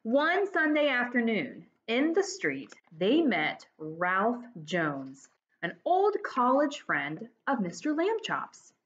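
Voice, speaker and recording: A woman's voice: a little high, huge pitch swings, slow, fairly bright tone. A woman in her forties reading a children's story aloud like a teacher, expressively and speaking directly to young listeners. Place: dining room at home, indoors. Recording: dull lo-fi webcam audio.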